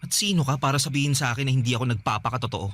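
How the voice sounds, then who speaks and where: A man's voice: mid-range voice, racing, a bit bright, soft background music, close to an everyday voice. A man playing a resentful, defensive younger brother in a Filipino radio drama, sarcastic and wounded. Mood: annoyed.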